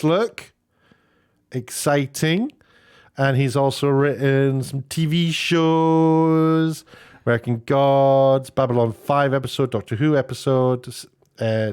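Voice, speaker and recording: A British man talks through his opinions as an online commentator, chatty and unscripted. His voice sits at an ordinary mid pitch, and he wanders from point to point, dropping in dry, sarcastic asides. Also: darkish tone. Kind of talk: casual opinionated commentary, reading out tweets and figures.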